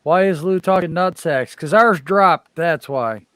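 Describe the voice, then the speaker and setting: A man hosting a casual sports livestream, cracking quick teasing jokes with his co-hosts. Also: on the high side, a bit bright, clear, resonant tone, no echo, clean studio sound. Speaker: stream host. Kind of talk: casual sports stream chat.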